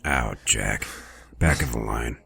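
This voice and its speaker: A man playing a surly, curt wolf sheriff with a gravelly voice in an audio drama. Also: slow, pushed and bright, heavily acted. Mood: gruff, dismissive. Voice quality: gravelly, very deep, husky. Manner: curt, dry sarcasm. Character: gruff, surly wolf sheriff in human form. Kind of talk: fan-made audio drama.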